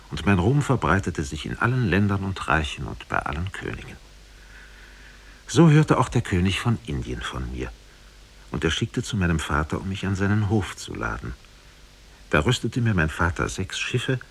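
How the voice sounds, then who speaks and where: A learned prince tells his own tale in an old radio drama. His voice is very deep and husky, moving expressively through formal, storybook phrasing. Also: a bit bright, exaggerated voice. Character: sorrowful learned former prince recounting his adventures. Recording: 1950s radio play from cassette.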